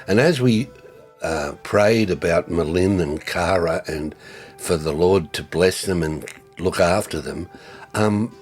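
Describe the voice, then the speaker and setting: An older man, giving a reflective tribute in a halting way with many fillers and restarts. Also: low-pitched, faint music behind. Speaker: former ministry co-worker.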